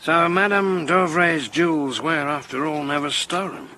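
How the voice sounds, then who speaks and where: A man's voice: on the high side, fairly even intonation, unhurried, pushed and bright, slight background noise. A man playing a hearty, talkative male town mayor in a radio drama, who begins his lines with "well". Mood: chatty. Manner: chatty, starts lines with "well".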